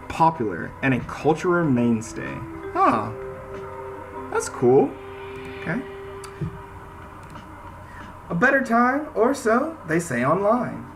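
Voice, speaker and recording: A man's voice: fairly high pitch, vocal fry, poor audio. A man doing casual let's-play commentary, reading game text aloud and reacting informally as he goes. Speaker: gaming video host. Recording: music under the voice. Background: music.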